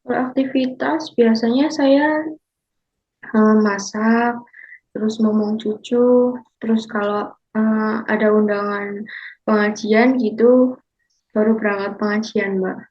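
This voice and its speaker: Young woman doing a granny at a diet checkup, slow and polite, kinda muffled recording. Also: on the high side, fairly even intonation. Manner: slow, polite, deferential, calls the counselor Mbak. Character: 65-year-old grandmother client, overweight, sweet tooth. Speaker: counseling client in a class role-play. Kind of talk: scripted role-play consultation.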